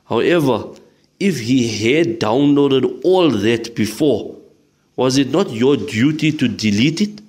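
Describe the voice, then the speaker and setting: A man's voice: mid-range voice, expressive, takes it slowly, a little mellow. A man playing a stern madrasa sheikh. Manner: firm, admonishing, fatherly. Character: stern caring male madrasa sheikh teacher. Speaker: teacher. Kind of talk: audio drama acting.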